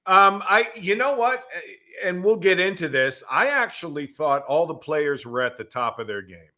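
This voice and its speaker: A man's voice: on the high side, sing-song, normal speed, pushed and bright. A man chatting casually as a podcast guest, speaking emphatically and bluntly. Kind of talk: casual chat.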